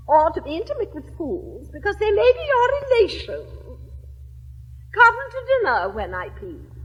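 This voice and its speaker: A woman's voice: high voice, long reverb tail, poor audio. An English actress in her seventies playing a witty, capricious young lady of fashion, with an affected, swooping theatrical drawl. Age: 70s. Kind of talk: 1960s classic stage recording.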